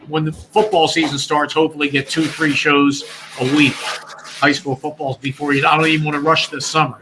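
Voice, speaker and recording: A man's voice: on the high side, bright, forceful tone, a little hiss or hum, rough recording. A man, a former radio broadcaster talking as a guest in a rambling, chatty way. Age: 60s. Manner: rambling, chatty, many restarts. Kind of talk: casual chat on a call-in show.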